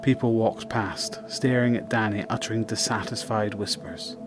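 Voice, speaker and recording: A man's voice: on the low side, steady tone, moderate pace, music in the background. A man narrating a scripted audio drama as a dramatic storyteller.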